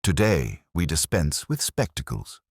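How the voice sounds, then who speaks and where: A man voicing a mild, courteous police inspector in a mystery story, speaking formally and deliberately. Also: very deep voice, big pitch swings, gravelly, dry recording, studio quality, heavily acted. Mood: calm, deliberate. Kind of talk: acted dialogue in story narration.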